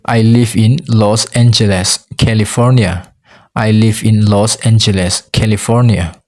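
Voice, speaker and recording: A native Mizo-speaking man with a Mizo accent runs an English lesson at an unhurried pace. His voice sits fairly low. He says each practice phrase twice, so learners can follow and repeat. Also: steady tone, a little mellow. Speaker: English teacher.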